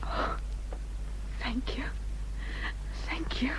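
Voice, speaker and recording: A woman playing a gentle, self-effacing English gentlewoman in a period literary drama, speaking hesitantly and politely. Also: extremely slow, in a whisper, low-quality recording. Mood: hushed, tender. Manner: hesitant, soft-spoken, polite.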